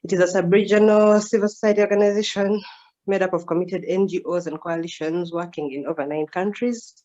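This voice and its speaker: A woman's voice: lowish voice, fairly even intonation, a little fast, warm tone. A woman in her twenties, a communications officer, politely introducing herself on a video call. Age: 20s. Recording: dull lo-fi call audio.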